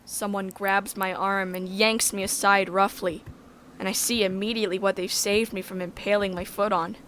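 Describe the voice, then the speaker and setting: A woman narrating a sci-fi visual novel in the first person, measured and descriptive. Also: medium pitch, flat delivery, fairly bright tone, slight background noise.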